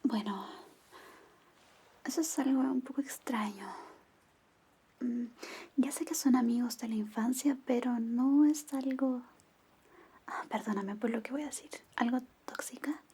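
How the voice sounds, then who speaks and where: A woman playing a caring, protective young girlfriend in a soothing, gently firm whispered ASMR roleplay. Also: on the high side, measured pace, pauses often, breathy edge.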